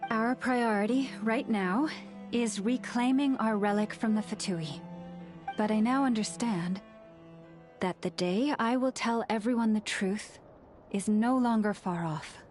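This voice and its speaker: An actress playing a serene, solemn female spiritual leader in a video game, speaking in a gentle, measured way. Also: on the high side, music in the background, close to an everyday voice. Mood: calm, resolved.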